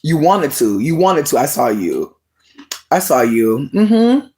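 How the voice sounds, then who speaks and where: A person livestreaming casual chat with viewers, sassy and expressive with lots of swearing, talking while snacking. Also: medium pitch, takes it slowly.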